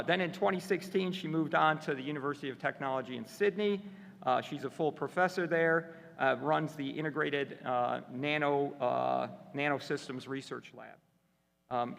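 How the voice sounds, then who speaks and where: A man's voice: medium pitch, gravelly, a bit bright. A man chairing a conference session, introducing the next speaker from his notes with many fillers and restarts. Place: conference hall. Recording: long hall reverb.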